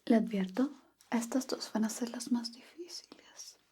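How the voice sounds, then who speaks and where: A woman whispering softly and teasingly, quizzing the listener on Chilean slang in an ASMR roleplay. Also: fairly high pitch, breathy edge. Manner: slow, soft, teasing like a teacher. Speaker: Spanish slang teacher.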